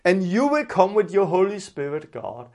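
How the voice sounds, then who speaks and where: Danish guy preaching, pretty high voice, explains stuff simply, repeats key phrases, non-native english. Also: sing-song, normal speed, darkish tone. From Denmark. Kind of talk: informal teaching sermon with prayer.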